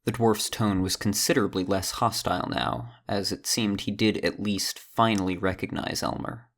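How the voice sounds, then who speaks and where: A man narrating an audio drama in a steady storytelling manner. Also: low-pitched, normal speed. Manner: steady storytelling, does all character voices.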